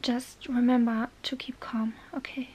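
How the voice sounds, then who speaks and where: A woman playing a bookish, exasperated young witch in an ASMR roleplay, halting and bossy, often whispering. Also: a little high, flat delivery, darkish tone, husky. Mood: anxious, coaxing. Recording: faint background noise.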